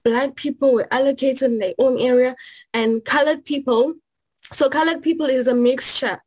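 A woman from South Africa answers interview questions as a graduate student. Her voice sits a little high and has a husky, breathy edge. She explains things earnestly, leaning on examples and a frequent "you know.". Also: fairly even intonation, moderate pace, a little mellow, poor audio. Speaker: guest, master's student.

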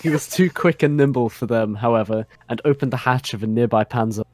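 A man's voice: mid-range voice, fairly quick, darkish tone. A young adult man giving a dramatic comic narration, prone to giggling as he reads. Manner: giggly, often cracks up while reading. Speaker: narrator of a comedy fan fiction reading. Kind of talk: dramatic narration breaking into amused banter.

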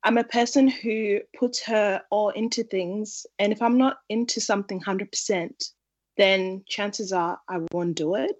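A woman born in Zimbabwe and raised in Australia, answering interview questions in an earnest, measured way. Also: mid-range voice, fairly even intonation. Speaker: interview guest, entrepreneur.

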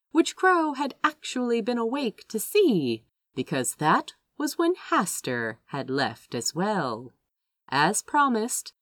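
A woman reading a fantasy story aloud as expressive, dramatic scripted narration. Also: on the low side, no echo, studio quality. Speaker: fan fiction reader.

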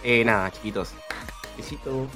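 A man's voice: mid-range voice, moderate pace, bright, forceful tone. An Argentine man in a mid-range voice tells a story at an easy pace, joking and in no hurry. Faint music runs behind him. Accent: Argentine Spanish. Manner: laid-back, storytelling, joking. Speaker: academy founder. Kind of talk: casual group chat.

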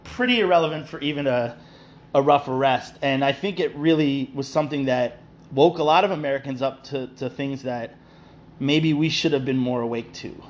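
A man's voice: medium pitch, normal speed, lo-fi sound. A man from New York hosting a casual podcast, rambling with many fillers and restarts. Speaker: podcast host.

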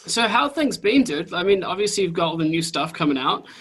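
A man with a New Zealand accent hosting a podcast interview over a video call, chatty and excitable, swearing casually. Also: sits high, fairly quick, breathy edge, soft background music. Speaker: podcast host.

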